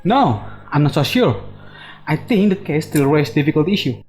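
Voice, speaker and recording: A man's voice: fairly high pitch, sing-song, darkish tone, faint music behind. A man playing a formal, officious convener of a hearing in a radio drama. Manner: formal, measured.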